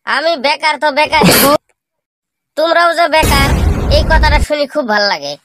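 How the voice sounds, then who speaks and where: Cartoon village layabout, young male figure played by an actor: high pitch, rural Bangladeshi dialect, grumbling and boastful, clearly noisy audio. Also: lightly acted. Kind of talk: comic cartoon dialogue.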